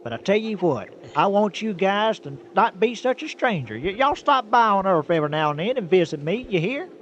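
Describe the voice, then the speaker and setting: A man's voice: high voice, big pitch swings, fairly quick, a bit bright, dull audio. A man playing a friendly, rambling country trucker with a Southern US accent in a comic radio drama. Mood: kindly, folksy. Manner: rambling, homespun. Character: friendly, folksy, good-natured country trucker. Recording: noisy radio drama recording.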